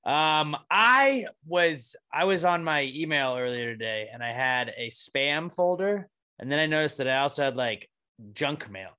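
A man's voice: a little high, unhurried, clean tone. A man, hosting a casual podcast chat and joking around. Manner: joking, animated, laughs often. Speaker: podcast host.

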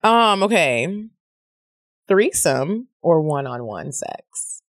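A woman co-hosting a podcast, playful and animated, joking, singing and laughing with her guest. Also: low-pitched, takes it slowly, no echo, studio quality. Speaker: podcast co-host. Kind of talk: casual podcast chat.

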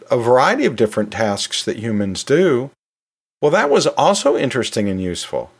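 Male podcast host, mid-pitched voice, animated intonation, informal storytelling, conversational asides. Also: breathy edge. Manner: chatty, informal asides with rhetorical questions. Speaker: anatomy and physiology teacher.

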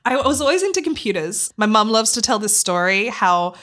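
An Australian woman in her thirties chats as a podcast guest. She tells her stories with plenty of laughter and animation, at a medium, everyday pitch. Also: a little fast. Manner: animated storyteller, laughs often, acts out voices. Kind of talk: casual interview chat.

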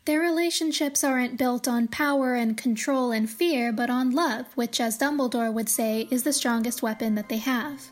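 High-pitched woman hosting a podcast. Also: moderate pace, few pauses. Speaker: podcast host. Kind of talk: scripted commentary on a fantasy book series.

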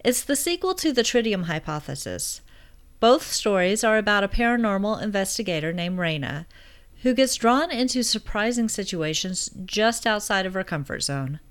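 A woman's voice: medium pitch, big pitch swings, normal speed, clear, resonant tone. A woman hosting a podcast, reading an upbeat intro and asking friendly interview questions. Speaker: podcast host.

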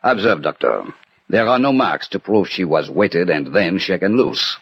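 An actor playing a refined French detective with measured, formal diction in an old radio drama. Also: male voice, sits low, lively intonation, husky, no echo, full character voice. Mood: musing, analytical. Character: refined, cerebral French detective Dupin, grave and courteous. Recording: dull vintage radio recording with music.

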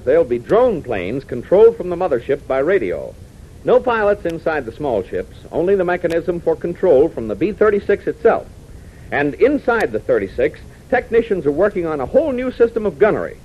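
American male announcer, dramatic 1940s kids' radio serial delivery, very lively intonation, dull old recording. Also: on the high side, a little hiss or hum. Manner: excitable, dramatic, addressing young listeners. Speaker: announcer and narrator.

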